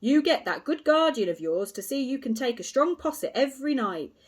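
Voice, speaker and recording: A woman's voice: high voice, very animated, brisk, voice pushed up. A woman voicing a blustering, self-assured male police inspector who talks down in a pompous, patronizing way. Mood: lecturing.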